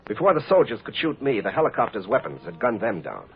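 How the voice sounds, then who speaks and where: A man's voice: lowish voice, sing-song, fast. An actor playing a defiant man on the run, telling his own escape in a tough, plain-spoken 1950s radio drama style. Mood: tense recounting. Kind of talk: 1950s radio drama first-person narration. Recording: noisy, muffled old radio recording.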